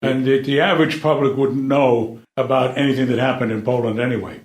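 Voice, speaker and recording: A man's voice: mid-range voice, fairly even intonation, a little fast. A man making emphatic, declarative points in a casual discussion of literature. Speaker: podcast discussion panelist. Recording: echoey room.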